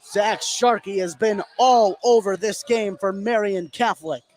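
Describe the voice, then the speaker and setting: A man's voice: high-pitched, a little slow. An American man doing live radio basketball play-by-play as the game's commentator. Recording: noisy gym ambience behind commentary.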